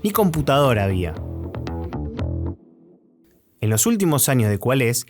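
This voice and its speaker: A man's voice: mid-range voice, very animated, normal speed, a little mellow. An Argentine man presenting a radio documentary in scripted narration. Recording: music under the voice.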